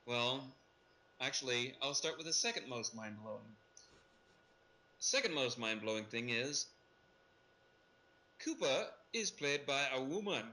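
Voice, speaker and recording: A man's voice: mid-range voice, big pitch swings, a little slow, pauses often, pushed and bright. A man casually discussing and recapping a film as a podcast panelist. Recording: noticeable background noise.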